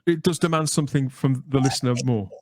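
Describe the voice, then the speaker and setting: A man's voice: medium pitch, quick pace, darkish tone, no room sound. A man hosting a podcast, interviewing and presenting in a conversational way. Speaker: podcast host.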